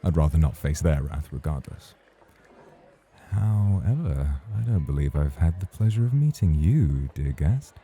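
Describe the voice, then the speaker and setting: Male actor as a suave rival host, extremely deep, dark and velvety, husky, courtly, teasing, ASMR romance roleplay. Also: animated, unhurried. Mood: courtly, charming. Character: suave, teasing champion male club host, smoothly charming.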